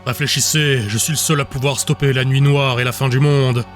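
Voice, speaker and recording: A man's voice: medium pitch, steady tone, brisk, soft background music, close to an everyday voice. A man voicing a cold, insistent man who reasons solemnly in an audiobook drama. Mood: solemn insistence. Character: cold, persuasive man claiming he alone can save the world.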